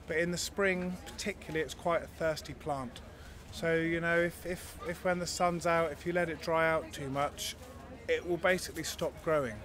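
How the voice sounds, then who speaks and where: A man in his thirties answers questions at a stall under a marquee, his voice sitting a little high for a man. He explains in a friendly, easygoing way, sometimes restarting his sentences. The sound comes through a handheld mic at an outdoor show. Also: steady tone, moderate pace, a bit bright. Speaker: garlic farm stall worker. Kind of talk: casual interview answers. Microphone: handheld mic held by the interviewer.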